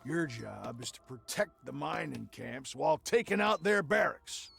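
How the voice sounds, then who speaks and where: Very creaky male voice, raised pitch, smug sneering sheriff, folksy swagger, video game drama. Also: expressive, a little slow, full character voice. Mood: commanding. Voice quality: gravelly, creaky. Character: smug, sneering Old West frontier sheriff, greedy schemer.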